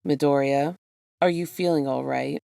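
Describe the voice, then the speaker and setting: A woman voicing a stern, weary male teacher in a deadpan, measured way while reading a story. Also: extremely low pitch, creaky, no room sound, studio quality. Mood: concerned.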